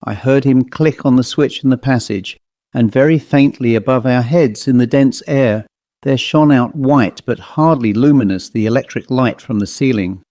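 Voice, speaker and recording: A man's voice: medium pitch, moderate pace, mellow, no echo, muffled recording. Male ghost story narrator, very dry recording. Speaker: audiobook narrator.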